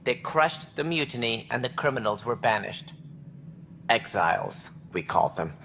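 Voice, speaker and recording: An actor playing a stern, proud alien administrator in a video game, speaking in formal, measured, clipped phrases. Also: male voice, mid-range voice, very animated, creaky, bright, forceful tone, a little hiss or hum, full character voice. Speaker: alien colony director.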